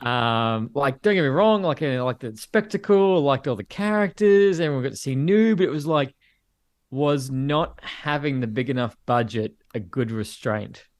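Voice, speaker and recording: A man's voice: mid-range voice, lively intonation, moderate pace, no echo. Australian man, casual podcast guest, dry sound. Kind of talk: casual chat.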